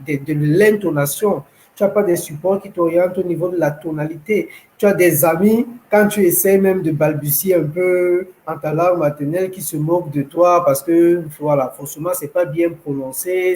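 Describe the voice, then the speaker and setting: A young man from Cameroon, a language school founder, telling his story in an interview, measured and hesitant with many fillers. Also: a little high, a little mellow, a little hiss or hum, rough recording. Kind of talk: interview, personal account.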